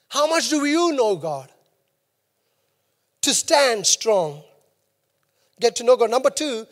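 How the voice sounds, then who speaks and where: High-voiced pastor in a reverberant hall. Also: male voice. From New Zealand. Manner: emphatic, repeats key lines. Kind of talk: sermon. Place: church hall.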